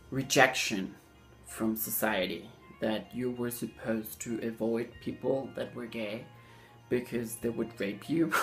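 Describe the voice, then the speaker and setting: A young man telling a personal story casually, in a hesitant way, often searching for words. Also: mid-range voice.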